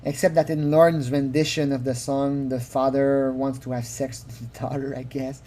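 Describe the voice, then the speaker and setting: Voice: mid-range voice, flat delivery, moderate pace, mellow. Video commentator, mid-range voice, informal and rambling, giggly, given to mocking impressions. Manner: giggly, often laughing through sentences; rambling, with acted-out mocking quotes. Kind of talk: informal spoken commentary on a recording.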